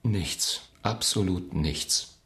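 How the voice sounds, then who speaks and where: An actor playing a dutiful secretary in a radio play, formal, deferential and matter-of-fact. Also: male voice, deep voice, drawn-out words, fairly bright tone, husky, soft background music, full character voice. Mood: reserved. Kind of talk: German radio play acting.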